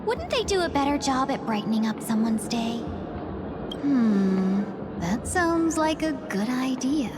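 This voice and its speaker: Eager, scheming young merchant. High, lively, persuasive, over a loud game score. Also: female voice, a little slow, very noisy. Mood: coaxing. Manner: sweet-talking, eager salesperson. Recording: loud game music behind.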